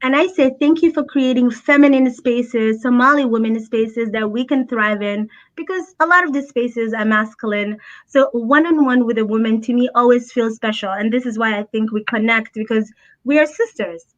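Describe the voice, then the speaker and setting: A woman's voice: fairly high pitch, normal speed. A woman born in Abu Dhabi, speaking as a guest in an interview, earnest and heartfelt, repeating her key points. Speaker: guest, special education advocate. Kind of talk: conversational interview answers. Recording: muffled lo-fi call audio.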